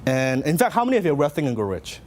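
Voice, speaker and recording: A man's voice: a little high, very animated, quick pace, darkish tone, slight background noise. A middle-aged man from Hong Kong with a Hong Kong Cantonese accent, giving a motivational seminar talk and telling his story with lots of questions to the audience. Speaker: business speaker.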